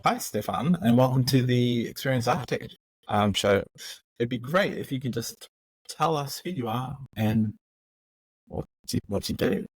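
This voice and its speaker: A man hosting a podcast interview in a relaxed, encouraging manner. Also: mid-range voice, normal speed, breathy edge, no room sound, soft background music, poor audio. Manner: relaxed, encouraging with brief acknowledgements. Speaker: podcast host.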